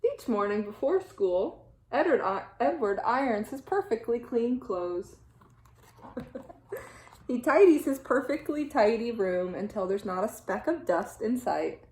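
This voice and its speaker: Echoey, high-voiced woman reading a storybook. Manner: animated, deliberate phrasing with dramatic sound-effect words. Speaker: teacher reading to children. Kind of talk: expressive children's storybook read-aloud. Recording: echoey room sound.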